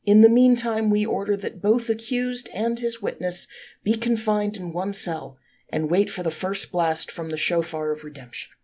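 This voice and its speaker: A woman's voice: medium pitch, normal speed, warm tone, pitched above the performer's own voice, lightly acted. A woman voicing a solemn heavenly judge in a folktale, pronouncing decrees in a formal way. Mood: emphatic. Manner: formal, declaratory.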